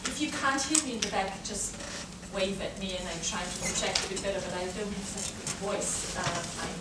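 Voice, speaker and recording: A woman's voice: medium pitch, brisk. German-accented woman lecturing in an echoey hall. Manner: hesitant, many fillers and restarts. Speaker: guest lecturer. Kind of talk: academic talk to students. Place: large lecture room. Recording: echoey lecture hall from far off, background noise.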